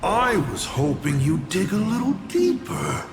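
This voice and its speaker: A man's voice: high voice, wildly swooping pitch, roomy sound, over music, full character voice. A man playing a smug, playful scheming villain in a video game, with a theatrical, teasing delivery. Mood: sly, amused. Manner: theatrical, teasing, drawn-out delivery with big swoops.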